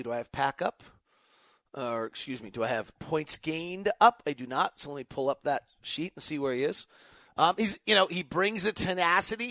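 A man with a fairly high voice hosts an unscripted sports talk show. He thinks aloud, pausing and restarting, and tosses out rhetorical questions. The sound is close and dry. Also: a bit bright, no echo. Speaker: host, sports radio announcer answering fan questions.

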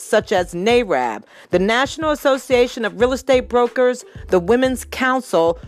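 Woman host, scripted intro, somewhat high voice, measured formal read, faint music underneath. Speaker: show host.

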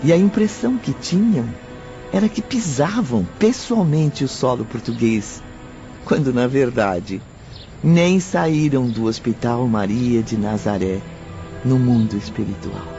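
A man's voice: medium pitch, animated, measured pace, mellow. A man with a Brazilian accent gives solemn, literary narration for a radio drama. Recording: muffled radio production, music underneath.

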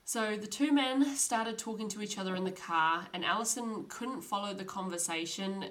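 A woman's voice: mid-range voice, moderate pace, fairly bright tone, low-quality recording. A young woman hosting a podcast, telling a true crime story in a chatty, casual way with frequent laughs. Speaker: podcast host.

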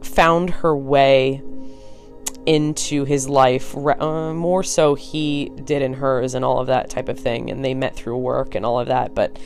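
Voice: mid-range voice, steady tone, a little slow, soft background music. Tired, blunt podcaster with a Connecticut accent. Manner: blunt and frank, swears, rambling asides. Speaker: podcast host. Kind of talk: casual solo podcast talk.

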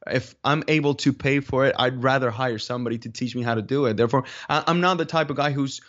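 A man with a Spanish accent answering interview questions in a deliberate, measured way, pausing to search for words. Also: mid-range voice, fairly bright tone. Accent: Spanish-speaking. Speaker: interview guest, video creator. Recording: dull lo-fi call audio.

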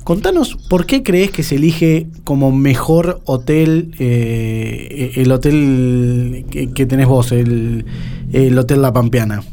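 Mellow Argentine-accented radio host. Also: male voice, mid-range voice, slow, slight background noise. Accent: Argentine Spanish. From La Pampa, Argentina. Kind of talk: casual radio interview.

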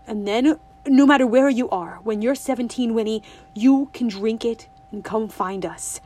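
A woman voicing an eager young country boy who talks fast in a hushed, urgent, rustic drawl in an audiobook. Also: fairly high pitch, natural voice. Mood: pleading. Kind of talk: audiobook reading.

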